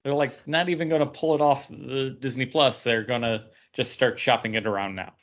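A man's voice: medium pitch, steady tone, moderate pace, lo-fi sound. A man co-hosting a podcast, talking casually with friends. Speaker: podcast co-host. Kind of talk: casual chat, reading out a list.

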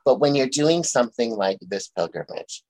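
A man hosting a relaxed interview, asking gentle questions and retelling a story in a thoughtful, soft-spoken way. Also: mid-range voice, normal speed, dry recording, poor audio. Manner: gentle, thoughtful, tells stories. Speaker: podcast host. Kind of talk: reflective interview chat.

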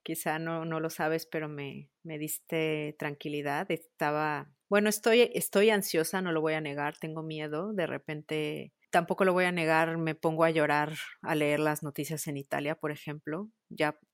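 A woman's voice: sits low, steady tone, moderate pace, few pauses, no echo, studio quality. A woman, chatting candidly as an interviewer, agreeable and a little hesitant in how she phrases things. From Mexico or Italy. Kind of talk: casual, candid interview chat.

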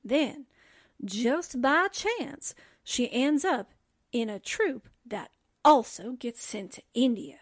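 Actress as chatty young girl assistant, radio drama, gossipy, folksy, high voice, slow lively delivery. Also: no echo, clean studio sound. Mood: eager storytelling. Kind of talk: radio drama comedy acting.

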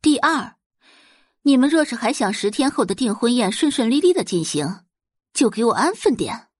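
A woman voicing a cool, imperious young heiress in an audio novel, deliberate and quietly menacing. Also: big pitch swings, husky, no echo, clean studio sound. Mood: cold warning. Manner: low-toned, deliberate, imperious.

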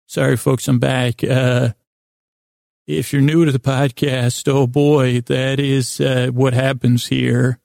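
A man with a heavily creaky, gravelly voice and a soft, dark tone hosts a bedtime podcast. He speaks in a narrow, even range, wandering and halting as he interrupts himself. The delivery is improvised and goofy. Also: medium pitch, dry recording, studio quality. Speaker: podcast host.

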